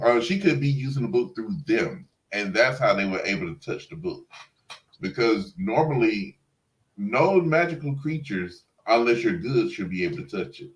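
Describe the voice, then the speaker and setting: A man's voice: medium pitch, low-quality recording. A man co-hosting a casual TV review chat, speaking colloquially and teasingly, repeating himself for emphasis. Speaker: co-host of a TV review livestream.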